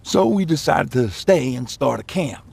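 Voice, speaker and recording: Gravelly, husky, weary war survivor. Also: male voice, mid-range voice, sing-song, darkish tone, heavily acted. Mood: weary, somber. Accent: American. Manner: halting, plain-spoken, profane storytelling. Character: weary male nuclear-war survivor recounting the fallout. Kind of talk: naturalistic game acting, recounting past events.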